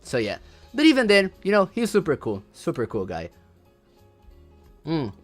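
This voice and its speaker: Young male streamer, Portuguese first language, slightly high voice, rambling casual talk, faint music. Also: wildly swooping pitch, normal speed. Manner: rambling, repeats words, reads chat aloud. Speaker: livestreamer. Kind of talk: casual livestream commentary.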